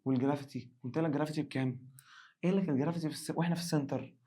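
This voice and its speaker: An Egyptian man with a rough, worn-out voice runs a quick science revision. He talks fast and keeps the energy high, pitching up loudly whenever he acts out a student asking for help. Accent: Egyptian Arabic. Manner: animated, acts out student questions in a raised voice. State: hoarse. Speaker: science teacher. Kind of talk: lively exam revision lesson.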